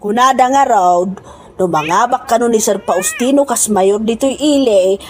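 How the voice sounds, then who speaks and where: High-voiced gossiping village woman acted by a woman. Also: normal speed, a little mellow, slight background noise, close to an everyday voice. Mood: disapproving. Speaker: radio drama actor. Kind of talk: comedy radio drama acting. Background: background music.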